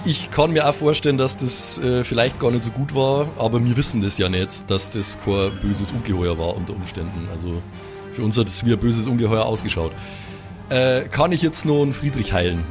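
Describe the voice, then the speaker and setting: A man's voice: mid-range voice, fairly quick. Male tabletop role-player. Casual, wordy, improvised delivery with a light music bed. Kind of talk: improvised in-character talk and casual game chat.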